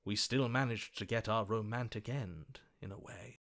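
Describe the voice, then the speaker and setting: An actor playing a lovestruck, gallant romantic hero in a video game, speaking in a florid, swooning, melodramatic way. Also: male voice, fairly low pitch, normal speed, vocal fry, fairly bright tone. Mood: resigned, sorrowful. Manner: florid, swooning, dramatic delivery. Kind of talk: theatrical video game voice acting.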